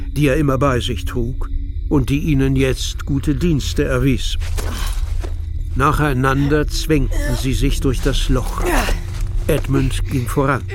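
A man's voice: mid-range voice, slight background noise. A man narrating a children's audio drama as a scripted storyteller. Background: music.

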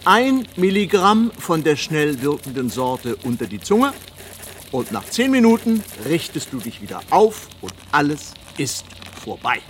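An actor playing a brisk, placating hospital doctor in a radio play, talking in a patronising, soothing way. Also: male voice, a little high, big pitch swings, a little hiss or hum. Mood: matter-of-fact.

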